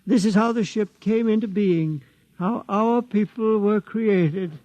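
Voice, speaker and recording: A venerable old witness who guards his ship's legend. He intones each word slowly and solemnly, in a high voice. The feel is that of a 1950s radio drama. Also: warm tone, faint music behind. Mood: solemn chanting. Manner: slow, intoning recitation. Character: aged male keeper of sacred ship legend, solemn.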